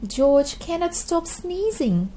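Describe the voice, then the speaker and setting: A woman narrating a children's storybook in a scripted, expressive read-aloud style for very young listeners. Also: very high-pitched. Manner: slow, expressive delivery for small children.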